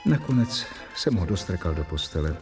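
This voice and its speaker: The measured, storytelling voice of a first-person narrator in a radio play. His pitch moves with lively variety, and faint accordion music plays underneath.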